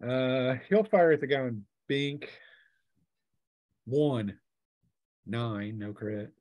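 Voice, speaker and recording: A man's voice: mid-range voice, extremely slow, darkish tone, no echo. A man runs a tabletop game as game master, taking his time. He calls the action in plain, colloquial language, with very little room sound on his voice.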